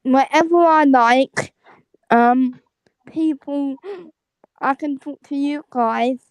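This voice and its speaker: Young woman caller from the Caribbean, high voice, slow, halting, stumbling speech, dry sound. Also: no room sound, low-quality recording. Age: 20s. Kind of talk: casual chat.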